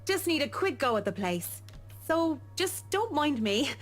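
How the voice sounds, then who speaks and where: High, anxious young professional woman. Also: big pitch swings, unhurried, faint music behind. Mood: nervous, evasive. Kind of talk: visual novel game voice acting.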